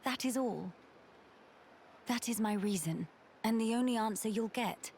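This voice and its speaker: An actress voicing a kind, resolute young crown princess in a video game drama, with a British accent and formal, earnest delivery. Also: female voice, fairly high pitch, a little slow, darkish tone, slight background noise. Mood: firm.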